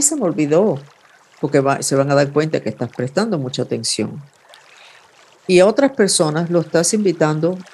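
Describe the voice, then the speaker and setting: A man hosting a weekly horoscope reading, giving calm, intimate advice with vivid imagery, in Spanish that is his second language. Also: sits high. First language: not Spanish. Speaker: astrologer host.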